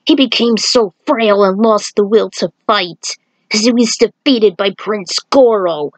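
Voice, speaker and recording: A woman's voice: fairly high pitch, no room sound, poor audio. A woman voicing a distraught warrior monk who warns of a curse in an urgent, grim, emphatic way for a story.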